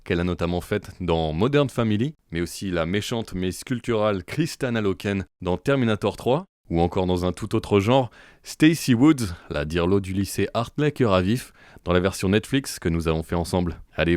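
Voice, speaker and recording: A man hosting an interview, reading an introduction and then asking relaxed, familiar questions. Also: lowish voice, moderate pace, dry recording, clean studio sound. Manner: relaxed, familiar, short prompts. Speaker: interviewer, host.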